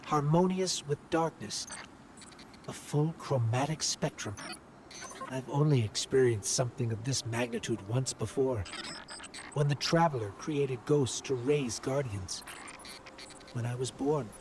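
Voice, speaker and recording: An actor playing a small, gentle machine guide in a video game cutscene, speaking earnestly and carefully. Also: male voice, mid-range voice, measured pace, pauses often, natural voice. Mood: awed, earnest. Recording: clear ambient noise behind the voice.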